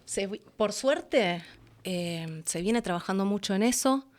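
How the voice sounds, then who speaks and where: A woman's voice: mid-range voice, animated, measured pace. A woman with an Argentine accent talking expressively and colloquially as an interview guest. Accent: Argentine Spanish. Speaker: guest, voice actress and announcer. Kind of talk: conversational interview.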